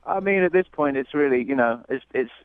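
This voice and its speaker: A man's voice: medium pitch, lively intonation, fairly quick, smooth, no room sound, narrow, tinny audio. A man from London with a London English accent, a caller on a radio show, speaking in exasperated, slangy British phrases and repeating himself. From London, England. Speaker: caller on a radio dating segment. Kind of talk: heated conversational phone-in.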